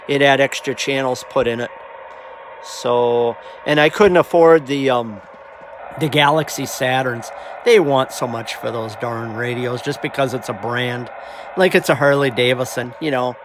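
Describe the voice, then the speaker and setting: A man's voice: medium pitch, a bit bright. Casual rambling man over background noise. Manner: rambling, halting, unscripted. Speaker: hobbyist presenter showing his CB radio. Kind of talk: casual hands-on show-and-tell. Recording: noisy amateur camera audio. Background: clear background noise.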